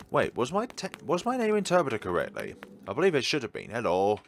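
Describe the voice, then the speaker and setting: A man's voice: mid-range voice, wildly swooping pitch, normal speed, gravelly, a little hiss or hum. A man hosting a gaming video, giving rambling commentary and reading game text aloud. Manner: rambling, musing asides.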